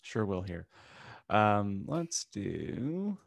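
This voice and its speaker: A man's voice: on the low side, very animated, very slow, vocal fry, no room sound. A man co-hosting a podcast in casual chat. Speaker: podcast co-host.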